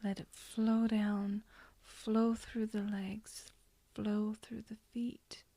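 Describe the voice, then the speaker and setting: A woman with a soft, breathy, whispery voice leading a guided hypnosis meditation in a soothing, gently instructing way. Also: mid-range voice, level tone, extremely slow, dry recording. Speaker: hypnotherapist guiding a session.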